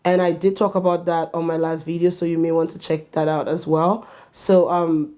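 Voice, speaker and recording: A woman hosting a talk show, explaining things to the viewer in a measured, deliberate way. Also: low voice, flat delivery, dark tone. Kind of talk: scripted-style explainer to camera.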